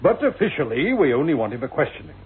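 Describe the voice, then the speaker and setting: Radio play role: methodical male sergeant, polite, deliberate, expressive delivery, narrow phone-like recording. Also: a little high. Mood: probing. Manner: officious, courteous, deliberate questioning. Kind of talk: radio drama acting. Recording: thin, band-limited old radio recording.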